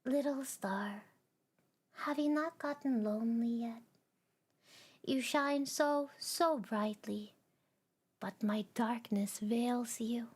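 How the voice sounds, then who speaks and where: A woman's voice: on the high side, breathy edge. A woman whispering as the coaxing cosmic darkness. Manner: slow, hushed, coaxing whisper. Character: the darkness, a seductive cosmic void, female-voiced.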